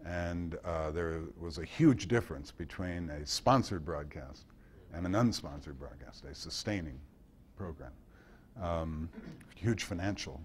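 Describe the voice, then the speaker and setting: An American man giving an informal talk and answering questions, hesitant and thoughtful, often pausing as he tells his anecdotes. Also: deep voice, slight background noise. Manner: hesitant, thoughtful, anecdotal delivery with long pauses. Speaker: guest speaker and author at a club meeting. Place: indoor club meeting.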